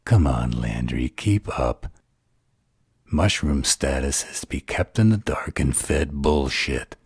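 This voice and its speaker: A man playing a sardonic, flirtatious vampire interrogator in an audio drama, with a slow, sarcastic delivery. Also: animated, a little mellow, dry recording, clean studio sound, exaggerated voice. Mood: sardonic, probing. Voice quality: very deep, gravelly, husky. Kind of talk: dramatic audio roleplay acting.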